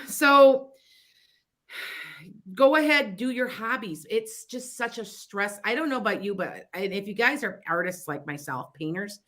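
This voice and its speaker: A woman's voice: a little high, sharp tone. A middle-aged woman with a Chicago accent who hosts a psychic advice show, talking in a rambling, chatty way full of restarts and asides. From Wisconsin near the Illinois border. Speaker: psychic radio show host. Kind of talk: casual advice talk on internet radio.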